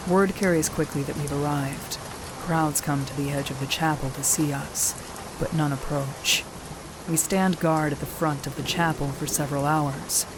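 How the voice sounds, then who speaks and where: An actress playing a battle-hardened warrior sister, who narrates in the first person in a measured, firm way. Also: female voice, sits low, fairly even intonation, background noise, close to an everyday voice. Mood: calm firm. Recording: rain ambience behind the voice.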